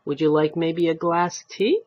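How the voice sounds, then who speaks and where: A woman's voice: low voice, measured pace, a little mellow, dull audio. A woman voicing a feisty, nagging elderly wife with a Yiddish-inflected accent, in a short story reading. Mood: indignant. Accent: Yiddish-inflected English. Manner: scolding, rhetorical questioning.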